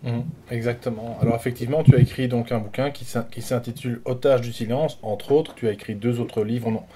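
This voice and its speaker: A man's voice: mid-range voice, fairly even intonation, a little fast, warm tone. A man hosting an interview, talking casually with many fillers and restarts. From Belgium. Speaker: host.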